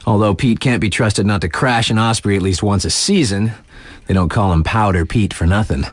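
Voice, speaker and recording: A man's voice: lowish voice, normal speed, dry recording, clean studio sound, lightly acted. An actor playing a laid-back, wry male radio DJ in a video game, talking in casual slang to hype up his listeners. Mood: cool, cautioning.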